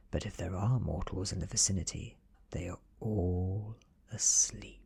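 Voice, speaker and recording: A British man reading a story in a soft-spoken, hushed and breathy ASMR style, measured and deliberate. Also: low-pitched. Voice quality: breathy, whispery. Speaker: ASMR narrator reading an audiobook.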